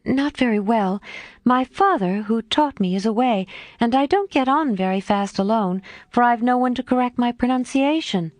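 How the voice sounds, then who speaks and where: A woman's voice: on the high side, animated, moderate pace, no echo, pitched above the performer's own voice, lightly acted. A woman voicing a shy, earnest young governess in a storybook reading. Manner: bashful, earnest.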